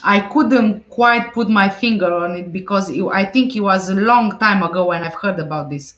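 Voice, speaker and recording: A woman's voice: mid-range voice, moderate pace, a bit bright, reverberant, dull audio. A woman hosting a casual livestream, reading viewer comments aloud and answering them conversationally. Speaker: livestream host. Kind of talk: casual livestream chat about a case.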